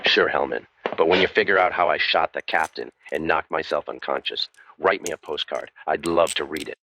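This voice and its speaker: An actor playing a world-weary, wisecracking private eye in a hard-boiled radio drama, dry and cynical. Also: male voice, a bass voice, brisk, pushed and bright, slight background noise, full character voice. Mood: sarcastic. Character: sardonic, beaten-up male waterfront private eye, world-weary.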